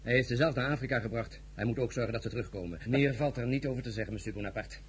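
An actor in a radio play as a blunt, stern French general and war minister, curt and formal. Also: male voice, mid-range voice, very fast, slight background noise, natural voice. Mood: stern, impatient.

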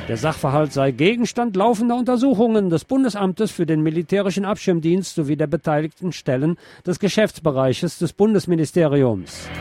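A man's voice: medium pitch, warm tone. A man hosting a news show, reading press articles aloud and adding sardonic, emphatic commentary. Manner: sardonic asides, emphatic repetition.

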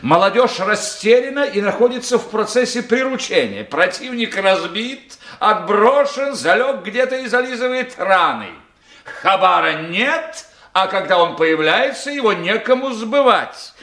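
Radio play male intelligence chief: forceful, sardonic, clipped interrogation, with wide swings of intonation. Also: high-pitched, animated, a little slow, exaggerated voice. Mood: stern summing up. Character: domineering sardonic male intelligence boss grilling his subordinate. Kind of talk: Russian radio drama acting, circa 1990.

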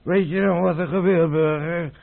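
Creaky-voiced, rambling drunken old-soldier sergeant. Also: male voice, a little high, steady tone. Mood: drunken, gloomy. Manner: halting drunken rambling, breaking into recruiting cries. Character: drunken, rambling veteran cavalry sergeant, boastful and gloomy. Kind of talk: radio play acting. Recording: muffled old radio play recording.

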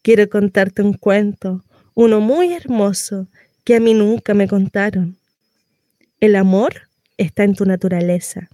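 A woman performing a dramatic monologue as a devoted mother counselling her daughter, with deliberate pauses. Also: medium pitch, dark tone, dry recording, clean studio sound, close to an everyday voice. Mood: tender, earnest. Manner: slow, deliberate, pausing delivery. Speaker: actress.

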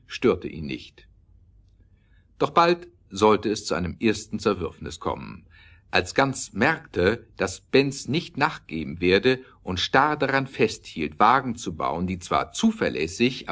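A man's voice: sits low, fairly bright tone, exaggerated voice. A man narrating a scripted historical radio play as its storyteller.

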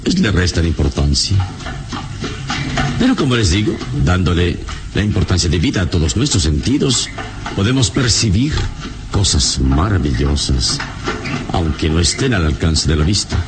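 A man playing a wise, calm, noble adventurer in an old radio serial, speaking in a measured, courteous, instructive way. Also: low voice, background noise. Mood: serene, philosophical. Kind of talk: old Latin American radio serial acting.

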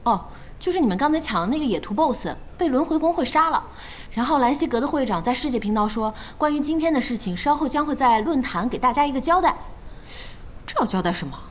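A woman acting a curious young female boss in a radio drama, expressive, inquisitive and playfully teasing. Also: sits high, fast, slight background noise. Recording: studio voice over faint music.

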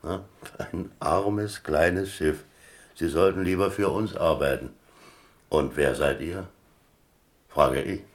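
A very low-pitched seasoned sea captain for a German radio drama. He is easygoing and boastful, telling his tales at leisure with the self-satisfaction of a born raconteur. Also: slow, pauses often. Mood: wry storytelling. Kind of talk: German radio play dialogue.

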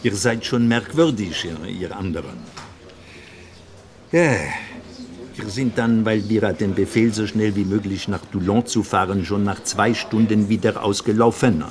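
A man's voice: fairly low pitch, a little slow, lightly acted. An actor playing an older French naval officer in a radio drama, with a French accent, searching for words and slipping into his native French. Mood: puzzled reminiscing. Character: elderly French naval officer, talkative, worldly, halting memory.

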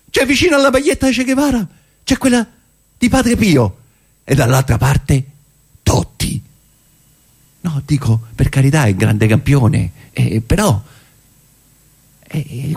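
A middle-aged man with a Roman accent delivers a theatrical monologue in a gravelly, creaky voice. He talks colloquially, as if recounting a memory, hesitating and dropping in wry asides. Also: mid-range voice. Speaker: stage monologue performer. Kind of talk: theatrical first-person narrative monologue in colloquial speech.

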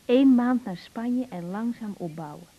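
An actress playing an encouraging grown daughter in a Dutch radio drama, practical and conversational, with an accent from the Netherlands. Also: female voice, a little high, expressive, unhurried, muffled recording, a little hiss or hum. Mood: firm, encouraging. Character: brisk, encouraging grown daughter advising her mother. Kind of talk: naturalistic 1980s radio drama acting.

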